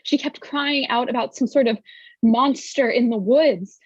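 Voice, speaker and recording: Casting: actress as troubled young gentlewoman for gothic stage reading, clearly high, husky, earnest, on slightly muffled dry audio. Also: unhurried, no echo. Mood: defensive, distressed. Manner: earnest, dramatic. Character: Elizabeth, sorrowful, concerned young gentlewoman, firm yet doubting.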